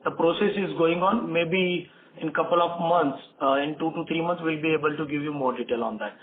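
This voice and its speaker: A man with an Indian accent answering analysts as a company executive on an earnings call, haltingly and repeating words, over a phone conference line. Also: a little high, narrow, tinny audio, slight background noise.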